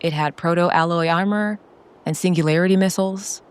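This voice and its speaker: A woman narrating a scripted, dramatic solo role-playing story in a solemn, deliberate way with short phrases. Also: on the low side, steady tone, clear, resonant tone. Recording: background music under the voice.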